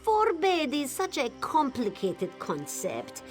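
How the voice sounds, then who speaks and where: Sly, lively cat-folk mercenary. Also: female voice, sits high, slow, faint music behind, exaggerated voice. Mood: sly, teasing. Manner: speaks of self in third person, purring, insinuating. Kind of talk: video game character dialogue.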